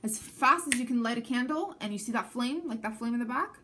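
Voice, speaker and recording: A woman's voice: on the high side, expressive, a little fast, fairly bright tone. A woman from Canada whose first language is Armenian, giving a chatty tarot reading to camera and often checking in with the viewer. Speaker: tarot reader.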